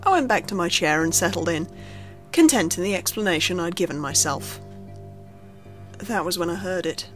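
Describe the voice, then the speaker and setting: A woman reading a scripted first-person horror story in a measured, suspenseful storytelling manner. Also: fairly low pitch, animated. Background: background music under the narration.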